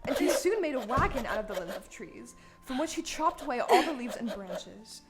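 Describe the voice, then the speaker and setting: A woman narrating an audio play with scripted, expressive storybook delivery. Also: on the high side, normal speed. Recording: live stage recording with music.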